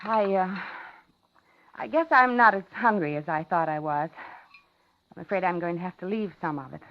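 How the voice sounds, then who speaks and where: An American actress playing a polite, uneasy widowed office worker in a 1950s radio suspense drama, confiding and genteel. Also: female voice, lowish voice, normal speed, a little hiss or hum, natural voice. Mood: nervous, apologetic. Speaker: radio drama lead and narrator. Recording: muffled 1950s radio recording, organ music.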